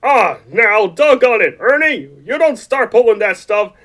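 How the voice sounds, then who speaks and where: Very high bright puppet frog voice, strained, frantic folksy family man in a radio play. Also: lively intonation, unhurried, full character voice. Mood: agitated. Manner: agitated, pleading, folksy. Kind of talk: comic radio play acting.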